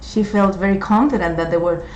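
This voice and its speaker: A middle-aged woman from Puerto Rico, with a Puerto Rican accent and Spanish as her first language, talking in a slow, halting way with many fillers. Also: mid-range voice, darkish tone, slight background noise. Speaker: co-host. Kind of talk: casual chat. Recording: dull, echoey room recording.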